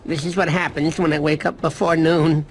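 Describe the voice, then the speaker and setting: A man voicing a goofy, eager, self-pleased sloth uncle for an animated game, boastful and comically whiny. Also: a little high, normal speed, a little hiss or hum, lightly acted.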